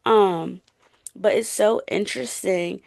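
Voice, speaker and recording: Creaky-voiced woman in casual book chat. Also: mid-range voice, fairly even intonation, slow pace, a little mellow. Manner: chatty, informal, emphatic. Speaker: book podcast co-host.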